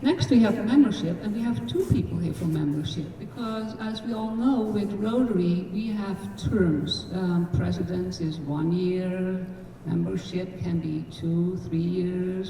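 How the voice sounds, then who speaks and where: A woman with a mellow voice introduces speakers at a district event, loose and joking. The sound is distant and roomy, with faint noise behind her. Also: fairly low pitch, fairly even intonation, a little slow. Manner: casual and joking. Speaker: incoming district governor and emcee. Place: large event room. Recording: roomy hall from far off.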